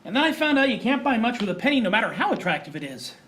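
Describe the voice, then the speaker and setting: A man's voice: very high-pitched, very animated, very fast, a bit bright, exaggerated voice. A man playing a cocky hack scriptwriter who wisecracks inside a live film noir radio parody. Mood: flippant. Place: theater stage before a live audience.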